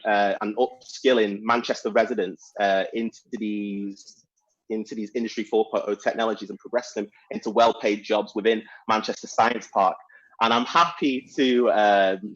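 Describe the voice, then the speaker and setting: A man's voice: lowish voice, lo-fi sound. A man enthusiastically describing his education company on a webinar panel, with many fillers and restarts. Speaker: STEM education company founder. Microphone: video call.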